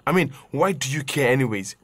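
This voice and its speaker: A man's voice: medium pitch, lively intonation, brisk, no room sound. Man acting bitter, suspicious young Nigerian, dry sound. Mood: suspicious, sarcastic. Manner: sharp, scornful questioning. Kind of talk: audio drama acting.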